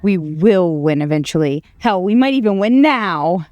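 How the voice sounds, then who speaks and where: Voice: mid-range voice, moderate pace, no echo, lo-fi sound, soft background music, lightly acted. An actor playing a hero's cocky, impatient inner voice in a video game, blunt, defiant and eager for a fight. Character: cocky, impatient, battle-hungry inner voice of a hero.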